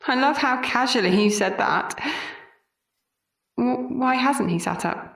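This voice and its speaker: An actress playing a young, sardonic Victorian nurse with a wry, chatty manner in an audio drama. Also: female voice, a little high, fairly bright tone. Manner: wry, conversational, quick to grumble.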